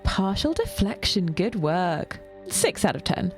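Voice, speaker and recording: A woman voicing a wry office colleague in an audio drama, a caring woman with a playful, ironic tease. The voice is lifted and noticeably creaky, over faint music. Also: on the low side, big pitch swings, slow pace, exaggerated voice. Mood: teasing.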